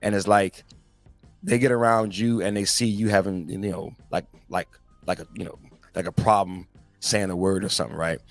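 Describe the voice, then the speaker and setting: A man's voice: low-pitched, steady tone, moderate pace, creaky, no room sound, soft background music. A man in his forties giving a casual, slangy motivational talk as a podcast host, speaking directly to his listeners. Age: 40s. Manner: conversational, slangy, addresses listeners as guys.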